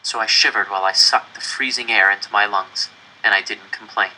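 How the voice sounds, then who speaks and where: A man reading a first-person novel aloud as a dramatic, deliberate and expressive narrator. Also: fairly low pitch, a little hiss or hum, low-quality recording. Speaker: audiobook-style reader.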